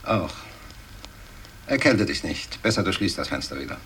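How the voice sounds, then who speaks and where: Guarded son, very low-voiced. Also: male voice, big pitch swings, moderate pace, fairly bright tone, slight background noise. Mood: reserved. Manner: terse, restrained, earnest. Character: guarded adult son, terse, secretive, accusing his father. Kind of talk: 1960s German radio drama acting.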